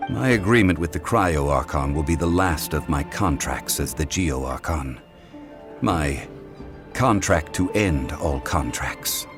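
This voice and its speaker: Ancient god for a fantasy game: deep, composed, measured, stately diction. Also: male voice, lively intonation, over music. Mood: solemn. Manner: formal, measured, eloquent. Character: ancient dignified god in mortal man's form, calm. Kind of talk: video game voice acting.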